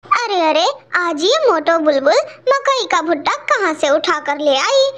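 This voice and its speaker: A woman's voice: extremely high pitch, very animated, unhurried, a bit bright, muffled recording, full character voice. A woman voicing a sly, greedy, boastful female crow in a children's cartoon, with a brash and cunning manner. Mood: gloating. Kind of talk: Hindi children's cartoon dialogue.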